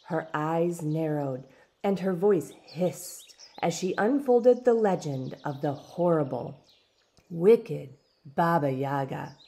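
A woman reading a storybook aloud in expressive, deliberate, dramatic narration. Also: sits low, gravelly. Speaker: storybook reader. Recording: background noise with birdsong.

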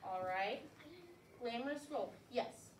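A woman teaching a class of young children, lively and coaxing, with call-and-response cues. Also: fairly high pitch, a little slow. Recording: echoey classroom from far off, light noise.

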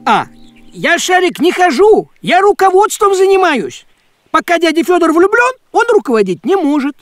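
High-pitched self-important cartoon tomcat, bossy n thrifty, swingy delivery, music behind. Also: male voice, very animated, normal speed, dry recording, studio quality. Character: bossy, thrifty male talking farm cat. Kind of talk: cartoon voice acting.

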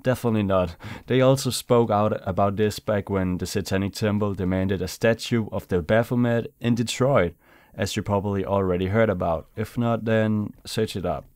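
Young man, Danish first language, low and smooth, dry close mic; laid-back casual commentary. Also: normal speed, darkish tone, no room sound. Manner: laid-back, chatty, says "man" and "you know". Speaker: YouTuber. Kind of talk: casual commentary over gameplay.

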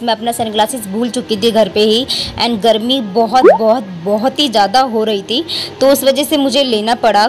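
A young woman vlogging from a street market, chatty and emphatic, repeating words for stress and dropping English words into her speech. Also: on the high side. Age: young adult. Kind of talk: chatty shopping vlog voice-over. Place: busy outdoor street market.

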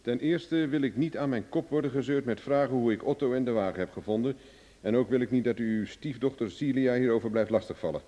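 An actor playing a cocky, cool-headed private investigator in a Dutch radio drama, glib, ironic and self-assured. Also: male voice, medium pitch, quick pace, dark tone, muffled recording, close to an everyday voice. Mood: cool, bargaining. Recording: reverberant old radio play recording.

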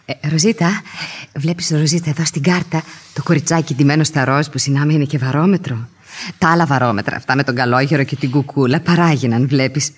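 Husky, prattling spinster. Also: female voice, deep voice. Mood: eager, prattling. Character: prattling genteel spinster daughter showing off trinkets. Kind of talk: radio theatre acting in Greek.

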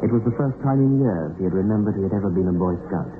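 Male narrator for vintage radio suspense: low-pitched, measured, thin narrow-band sound, music underneath. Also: expressive, background noise. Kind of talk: scripted dramatic radio narration of a suspense story. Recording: phone-like narrow-band old radio recording. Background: background music.

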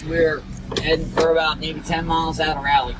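A man's voice: a little high, level tone, brisk. Rambling man in a noisy car. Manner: rambling, talks through directions aloud. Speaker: road trip companion. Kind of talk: casual chat in a car.

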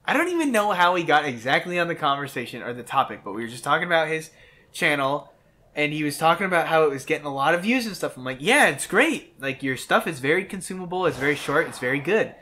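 A man's voice: on the high side, animated, fast, sharp tone. A man casually bantering as co-host of a gameplay video, blunt and often swearing. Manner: profane, blunt. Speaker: let's play co-host. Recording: music under the voice. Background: game music.

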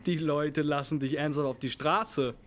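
A man's voice: fairly high pitch, steady tone, moderate pace, fairly bright tone, lightly acted. A man acting a young student in an audio drama, a teasing but concerned friend who talks colloquially. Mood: mocking. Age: young adult. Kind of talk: naturalistic audio drama dialogue.

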